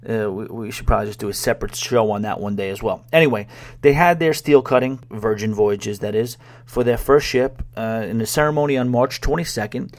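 A man's voice: on the low side, fairly quick. A man hosting a solo podcast, talking casually in a wry, sarcastic way with frequent restarts. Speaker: podcast host. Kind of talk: casual solo podcast talk about cruises.

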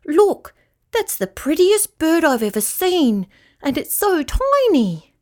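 A woman's voice: high voice, sing-song, unhurried, pitched above the performer's own voice. A woman voicing a polite, apologetic sea rat in a children's storybook, earnest and easily puzzled. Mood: eager, earnest.

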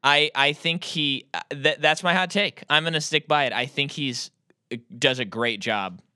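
A man's voice: on the high side, bright, forceful tone, clean tone, no echo, studio quality. A man co-hosting a podcast, giving opinionated, joking movie takes in casual chat. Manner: opinionated, quick to joke about his own hot takes. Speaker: podcast co-host.

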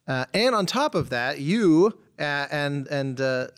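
A man's voice: on the high side, animated, slow, smooth. A man hosting a podcast, interviewing casually in person with a wordy, digressive, wry way of talking. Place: in person at a table, at a conference.

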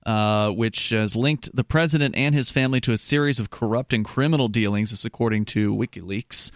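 A man from the American South co-hosting a talk radio show, emphatic and quick to jump in. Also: lowish voice, no room sound, studio quality. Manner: emphatic, quick to agree and interject. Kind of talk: casual talk radio chat. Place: radio studio. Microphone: studio mic, close.

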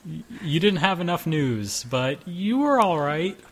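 A man's voice: fairly high pitch, very animated, measured pace. A man in his twenties hosting a casual group chat, joking and rambling. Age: 20s. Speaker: podcast host.

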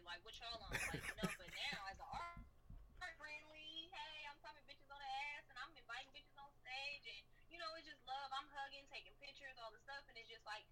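A woman's voice: on the high side, sharp tone, recorded from a distance, slight background noise, rough recording. A young woman from the Bay Area with a slight Southern accent, talking bluntly in profane street slang as a podcast guest, a bit off-mic. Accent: Southern US tinge. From San Francisco Bay Area. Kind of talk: casual podcast conversation.

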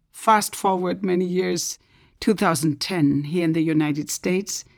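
A middle-aged woman from Germany, an author telling her personal story in an earnest way that drops to soft whispers. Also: lowish voice. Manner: earnest, drops to soft whispers when recounting inner moments. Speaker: self-published author. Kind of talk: personal testimony.

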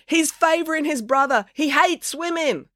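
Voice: sits high, normal speed, pushed and bright, no echo, clean studio sound, voice pushed up. A narrator voicing a petty, jeering female heckler in a storybook, calling out shrilly.

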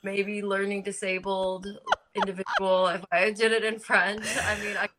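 A woman's voice: medium pitch, flat delivery, moderate pace, heavy background noise. A woman guest joining a podcast over a video call, chatting playfully and agreeing easily. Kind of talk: casual podcast chat.

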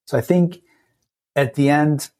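A man in his late thirties from Turkey with a Turkish accent, a podcast guest talking thoughtfully and pausing often to search for words. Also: fairly high pitch, a little mellow. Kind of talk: casual interview talk.

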